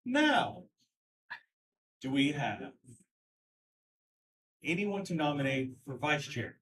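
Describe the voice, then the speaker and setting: A man's voice: fairly high pitch, big pitch swings, takes it slowly, fairly bright tone. A man chairing a party convention in casual, conversational words with restarts, heard in a meeting room. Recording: voice from far off the mic.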